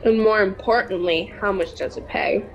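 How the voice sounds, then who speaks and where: A woman's voice: mid-range voice, moderate pace, muffled recording, close to an everyday voice. A young female performer playing a blunt, cheeky adventurer girl in a radio-style serial, with a dry, sceptical manner.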